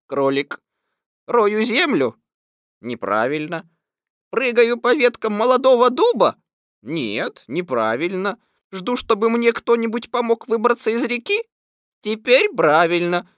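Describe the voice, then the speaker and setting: A woman voicing a gloomy, sarcastic old male donkey in a storybook, drawling slowly and flatly. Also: on the low side, dry recording, studio quality. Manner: slow, flat, sardonic drawl.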